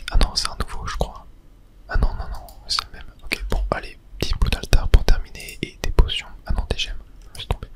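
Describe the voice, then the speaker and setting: Whispered throughout, ASMR game commentary, soft delivery, little interjections. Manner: soft unvoiced whispering with small mouth-sound interjections. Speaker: gaming ASMR creator.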